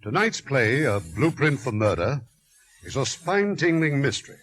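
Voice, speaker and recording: A man's voice: medium pitch, big pitch swings, moderate pace, fairly bright tone. A man giving a formal 1950s radio introduction as host. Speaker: radio show host and producer.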